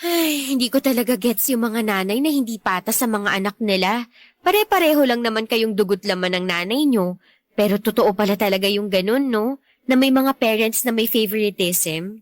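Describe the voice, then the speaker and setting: A woman's voice: a little high, moderate pace, no room sound, close to an everyday voice. Woman acting caring young Filipino girlfriend, dry sound. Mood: concerned, affectionate. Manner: casual, earnest. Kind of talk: Tagalog radio drama dialogue.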